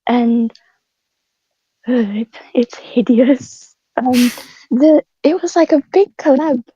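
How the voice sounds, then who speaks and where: A woman chatting casually as a podcast guest, halting as she thinks aloud. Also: high-pitched, dark tone, a little hiss or hum. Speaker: script writer and voice actor, podcast guest. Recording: dull, muffled lo-fi mic.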